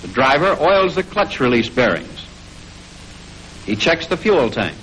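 An American man reading scripted 1940s instructional film narration in a formal, measured way, on an old film soundtrack. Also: mid-range voice, big pitch swings, fairly bright tone, slight background noise, poor audio.

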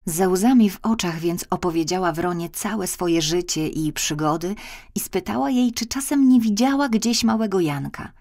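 A woman's voice: on the low side, lively intonation, normal speed, a little mellow. A woman narrating a children's audiobook, telling the scripted story expressively.

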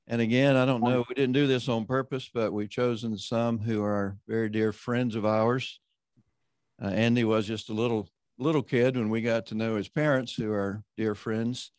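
A man hosting an online roundtable, introducing panelists and leading a prayer in a measured, conversational way. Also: medium pitch, fairly even intonation, a little mellow, no room sound. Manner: measured, conversational, often slowing down. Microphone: video call.

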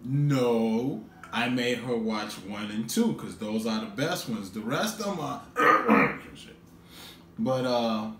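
A man's voice: animated, takes it slowly, recorded from a distance. A middle-aged man hosting a casual, playful reaction video at home, recorded from a camera a few feet away. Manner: giggly, breaks into high laughter. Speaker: YouTube reaction host. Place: room at home, indoors. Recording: echoey room, faint background noise.

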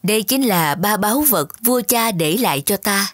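A woman's voice: mid-range voice, lively intonation, moderate pace, no room sound, studio quality, soft background music, close to an everyday voice. A woman voicing an earnest, trusting young king with a courtly manner in a children's fairy-tale cartoon. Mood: tender, courtly.

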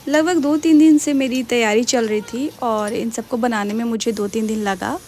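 A woman's voice: a little high, steady tone, moderate pace, a little mellow, slight background noise. A young woman casually narrating her home cooking in a voice-over, chatty and mixing in English words. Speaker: cooking vlogger.

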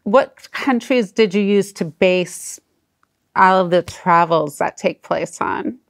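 Slow-talking middle-aged woman host. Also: medium pitch, creaky. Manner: slow, warmly appreciative. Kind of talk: interview.

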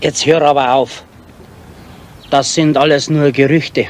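An actor playing a cautious, scolding villager in a 1970s German radio crime drama. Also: male voice, a little high, level tone, unhurried, slight background noise, lightly acted. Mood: anxious, scolding. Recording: old radio play, tavern crowd behind.

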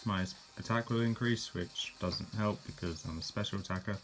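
A man giving a casual, relaxed play-by-play of his own game battle, correcting himself as he goes. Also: fairly low pitch, normal speed, music in the background. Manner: relaxed, plain narration with fillers and self-corrections. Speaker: gameplay commentator.

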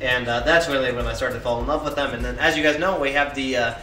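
A man's voice: medium pitch, steady tone, fast talker, sharp tone. A young man hosting a reptile vlog outdoors beside his car, talking casually and eagerly with lots of "uh" fillers. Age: young adult. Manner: enthusiastic, many "uh" fillers. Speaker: reptile keeper and channel host. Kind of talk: casual vlog showing animals. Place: outdoors by an open car on a dirt lot. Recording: roomy distant sound with music underneath.

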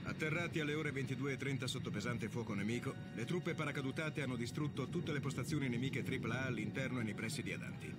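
A grave colonel, played by a man, delivers a formal after-action report in a war video game. His pitch is medium and his delivery quick and weary. Loud music plays behind him. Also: close to an everyday voice. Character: male colonel, commanding officer, grave and weary. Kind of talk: formal scripted report narration.

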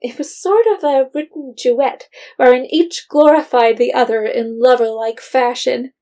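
A woman's voice: high-pitched, unhurried, warm tone. A woman narrator reading a scripted period story as storytelling narration.

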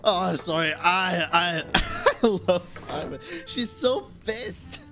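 A woman streaming a game live, reacting excitably and swearing as she goes. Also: lowish voice, takes it slowly. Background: game music.

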